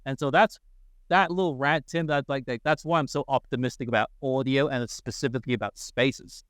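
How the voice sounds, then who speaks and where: A man's voice: mid-range voice, fairly even intonation, fairly quick, fairly bright tone, dry recording. A man, a guest on a podcast, talking enthusiastically in casual conversation, with lots of fillers and restarts.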